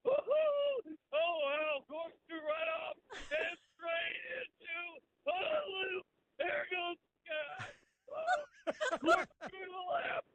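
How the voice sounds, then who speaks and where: A male radio reporter giving excited live commentary from the scene. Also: very high-pitched, noisy background. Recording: band-limited live remote broadcast feed.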